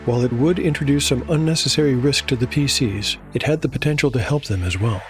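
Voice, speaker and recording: A man narrating a dark fantasy tabletop audiodrama in a measured, deliberate, scripted storytelling style. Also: medium pitch, faint music behind.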